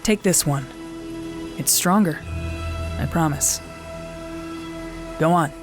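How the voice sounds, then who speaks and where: A woman voicing a weary veteran warrior nun, narrating in first person with a calm, measured, commanding manner. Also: sits low. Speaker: narrator and squad leader. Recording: music bed under the voice.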